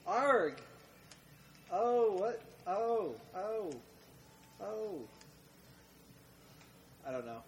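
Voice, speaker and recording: A gamer teasing friends in a dry, unhurried way. The pitch moves a lot between lines. Faint noise sits behind the voice. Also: sing-song, gravelly, highs cut off. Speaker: player. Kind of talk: casual gaming chat.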